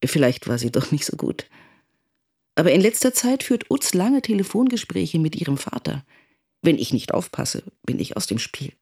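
A man's voice: a little high, very animated, moderate pace, creaky, darkish tone, husky, heavily acted. A man playing an anxious, calculating confidant narrating a confession in a radio drama. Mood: confiding, uneasy.

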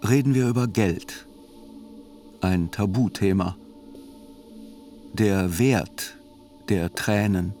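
Radio play chronicler: low, matter-of-fact, deliberate, literary narration over soft music. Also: male voice. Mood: sober, measured. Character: sober male court chronicler narrating a terror trial. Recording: music under the voice.

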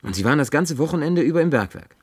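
A man's voice: a little high, lively intonation, quick pace, slightly rough. A man dubbing a wary, down-to-earth man who answers in a skeptical, curt way. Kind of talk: German film dub.

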